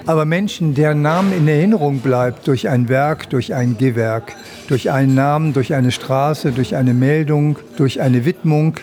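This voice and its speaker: Mellow-voiced older interviewer. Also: male voice, a little high, normal speed. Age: 60s. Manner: elaborate, wordy questions with long lists. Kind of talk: radio interview.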